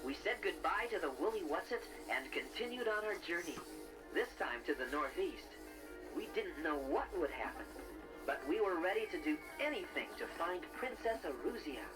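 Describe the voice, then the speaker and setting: An actor voicing a friendly, earnest young bear who gently narrates a children's read-along adventure. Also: medium pitch, very animated, moderate pace, natural voice, low-quality recording. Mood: earnest storytelling. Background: music.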